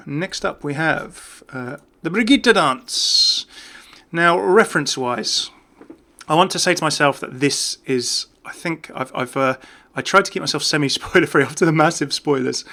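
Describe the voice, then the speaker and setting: A man's voice: on the high side, gravelly, pushed and bright. A man giving casual, off-the-cuff video game commentary in a chatty, enthusiastic way. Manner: chatty, enthusiastic about small details.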